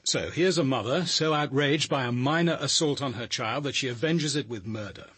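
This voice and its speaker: A sceptical English police detective for a radio play. His husky voice sits at a middling pitch, recorded close and dry. He speaks with measured, understated authority. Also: a bit bright, dry recording. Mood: firm, sceptical. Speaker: actor in a radio drama. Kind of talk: radio drama dialogue and first-person narration.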